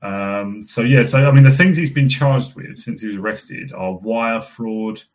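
A hoarse man speaking as a guest in a casual podcast discussion, chatty and full of fillers and restarts, losing his voice from a cough. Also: mid-range voice, measured pace. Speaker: guest commentator.

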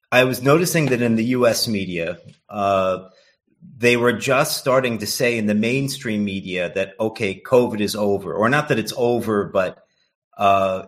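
A man from the United States talks as an interview guest in a somewhat low voice. He rambles, stumbles over his words and fills pauses with uhs. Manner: rambling, stammering restarts, many uhs. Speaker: guest writer and editor. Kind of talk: casual interview chat.

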